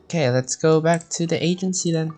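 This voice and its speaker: A man's voice: a little high, a little fast, darkish tone, soft background music. A man doing casual live gameplay commentary, rambling and thinking aloud. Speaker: game streamer.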